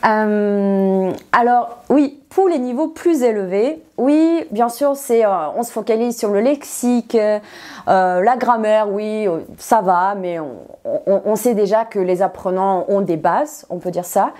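Mellow-voiced teacher, woman. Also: medium pitch, a little slow. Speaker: non-native French teacher, interview guest. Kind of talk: conversational explanation in an interview.

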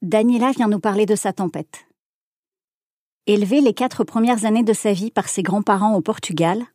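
A woman hosting a podcast, reading a scripted introduction in a measured way and then asking questions casually. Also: medium pitch, mellow, dry recording, clean studio sound. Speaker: podcast host.

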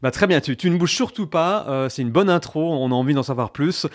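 A man hosting a podcast in a lively, chatty and informal way. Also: fairly high pitch, a little fast, no room sound, clean studio sound. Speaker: podcast host.

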